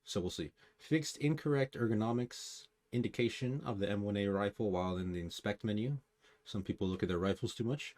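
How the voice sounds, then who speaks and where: Low-pitched man, casual gaming commentary. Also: a little mellow. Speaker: gaming guide host. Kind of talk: casual patch notes review with commentary.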